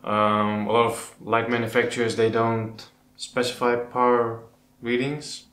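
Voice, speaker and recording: Male Dutch host, casual Q&A delivery, thinks aloud, flat intonation, roomy sound. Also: medium pitch, a little slow. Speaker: host of an aquascaping channel. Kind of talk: casual question-and-answer talk to camera. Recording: roomy echo with background noise.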